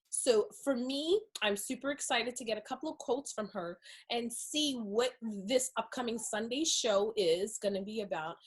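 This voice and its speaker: A woman with a fairly high, husky voice hosts a magazine interview. She gushes with enthusiasm and showers her guest with compliments. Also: rough recording. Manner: effusive, gushing with compliments.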